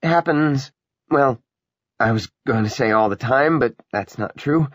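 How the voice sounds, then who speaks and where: A man's voice: mid-range voice, unhurried, no room sound, clean studio sound, pitched above the performer's own voice, close to an everyday voice. A man voicing a meek, apologetic little railway conductor, a ghost, explaining things hesitantly in an audiobook.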